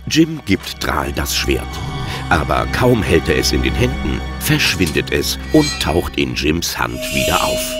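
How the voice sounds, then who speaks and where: A man's voice: a bass voice, very animated, unhurried, over loud music. A man narrating a children's audio drama as a scripted storyteller.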